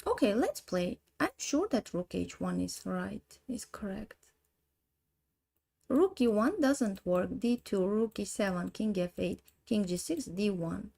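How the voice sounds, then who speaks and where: Armenian woman in her 30s, chess streamer, slow halting delivery, dark gravelly tone. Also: medium pitch, lively intonation. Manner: thinks aloud, slow halting English. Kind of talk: live chess puzzle stream.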